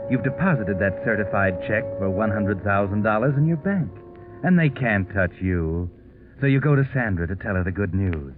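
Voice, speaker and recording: An actor playing a sardonic, knowing narrator in a 1940s radio mystery, insinuating and ironic. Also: male voice, on the low side, animated, moderate pace, close to an everyday voice. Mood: smug, ominous. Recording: narrow 1940s radio broadcast recording. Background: music.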